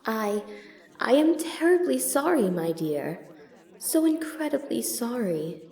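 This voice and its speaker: Woman playing a slow, gentle motherly monster. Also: a little high, sing-song, reverberant, exaggerated voice. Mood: soothing, sorrowful. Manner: formal, careful, soothing speech. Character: gentle, motherly female monster, protective and formal. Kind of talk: audio drama acting.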